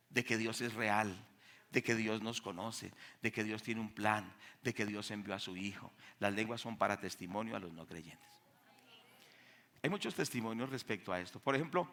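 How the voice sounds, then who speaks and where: Bright-voiced Colombian man preaching. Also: medium pitch. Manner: emphatic, rhetorical questions to the congregation. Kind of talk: sermon with Bible reading and testimonies. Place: speaking to a church congregation.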